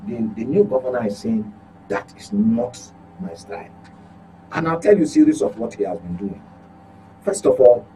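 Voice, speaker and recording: A man's voice: fairly low pitch, dark tone. A Nigerian-accented man giving political commentary on a talk show as a journalist, emphatic, often repeating and correcting his words. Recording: clearly noisy with electrical hum.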